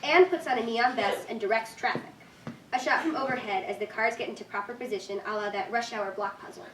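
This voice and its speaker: A woman with a fairly high voice reads stage directions as scripted narration. The room is echoey and she sounds some distance from the mic, with faint noise behind. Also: fairly quick.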